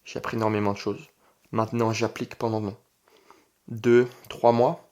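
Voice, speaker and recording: A man's voice: lowish voice, little pitch movement, normal speed, a little mellow. Young Frenchman talking off the cuff, emphatic. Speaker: online entrepreneur and video creator. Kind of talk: casual vlog monologue.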